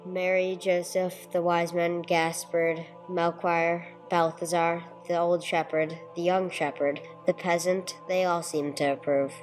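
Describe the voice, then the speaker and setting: A woman's voice: on the low side, level tone, measured pace, hardly any pauses, soft background music, close to an everyday voice. A woman playing a terse, sober police detective who narrates a case in an old-time radio drama style, on a noisy recording. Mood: sober, reflective. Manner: matter-of-fact, clipped. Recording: clearly noisy amateur recording.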